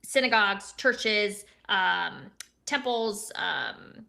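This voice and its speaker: Young woman co-host, high-pitched bright voice, chatty commentary with self-corrections. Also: lively intonation, extremely slow, gravelly. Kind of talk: casual political commentary.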